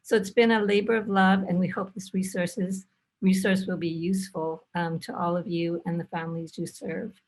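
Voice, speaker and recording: A woman presenting in an online webinar as a faculty member, speaking in a measured way with pauses mid-sentence. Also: lowish voice, flat delivery, a little mellow. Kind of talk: webinar presentation, introducing and thanking. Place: online video call.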